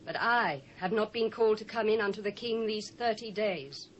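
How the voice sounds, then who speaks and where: A woman acting a courteous, resolute British queen in a scripture audio drama. Her voice is medium in pitch and measured, over faint cassette hiss. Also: dull audio, lightly acted. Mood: grave resolve. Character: dignified young Persian queen, resolute and courteous.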